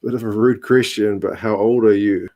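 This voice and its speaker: A New man in his mid-thirties chats easily on a home live stream, his voice sitting a little low. He answers viewer comments in a laid-back, wry way, with a conversational rhythm. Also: normal speed. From New Zealand. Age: 30s. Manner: relaxed, chatty, dry humour. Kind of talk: casual chat reading viewer comments.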